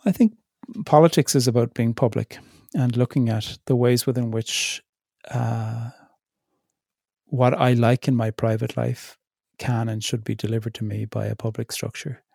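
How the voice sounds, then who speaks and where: A middle-aged man speaking reflectively as an interview guest, thoughtful and searching, pausing and restarting as he works through his ideas. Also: medium pitch, fairly even intonation, creaky, warm tone, no room sound, clean studio sound. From Ireland. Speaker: theologian in residence, interview guest.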